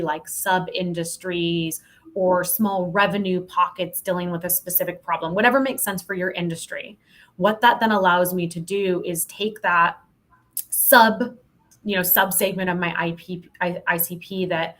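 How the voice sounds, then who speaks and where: A woman's voice: fairly low pitch, fairly bright tone. A woman talking candidly and emphatically as a podcast guest, giving informal expert advice in a casual conversation.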